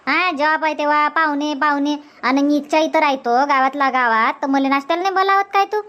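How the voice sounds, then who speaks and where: A woman's voice: high voice, brisk, fairly bright tone. A woman voicing a chatty, insistent middle-aged village housewife in a comedy cartoon, speaking colloquial Varhadi Marathi from Vidarbha. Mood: mock complaining.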